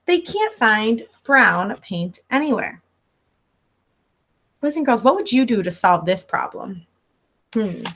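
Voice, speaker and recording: A woman's voice: fairly high pitch, very animated, slow, long pauses, a bit bright, slight background noise. A woman in her thirties reading a picture book aloud as a teacher, expressively and instructively, speaking directly to young listeners. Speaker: elementary school teacher. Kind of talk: read-aloud storytelling for children. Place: living room at home.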